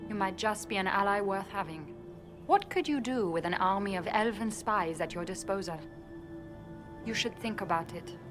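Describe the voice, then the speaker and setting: A woman's voice: mid-range voice, normal speed, music in the background, lightly acted. A woman playing a shrewd elven ambassador and spymaster in a fantasy video game, speaking in a wry, knowing, persuasive way. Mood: serious, persuasive. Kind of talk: dramatic video game dialogue.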